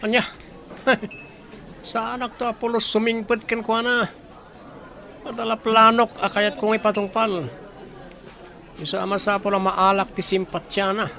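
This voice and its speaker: A man's voice: high voice, flat delivery, unhurried, vocal fry, long pauses, sharp tone, a faint crowd, full character voice. A man playing a resentful, falsely friendly taxi driver with secret plans in an Ilocano radio drama. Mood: sly.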